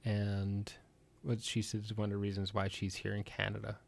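A woman's voice: extremely low pitch, completely flat delivery, normal speed, gravelly, a little mellow, slight background noise. A woman in her sixties hosting a casual interview, asking curious, meandering questions. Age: 60s. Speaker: host.

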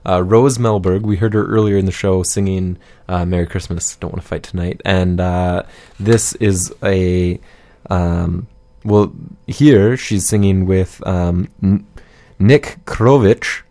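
A man hosting a radio show, chatting casually and a little haltingly as he signs off. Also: low voice, steady tone, warm tone. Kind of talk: casual chat, signing off a show.

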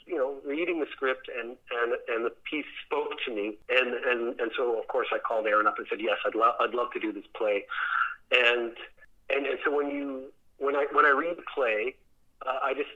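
A man speaking as a theatre director in a conversational interview, reflective and halting, often repeating words as he thinks. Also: mid-range voice, phone-quality sound.

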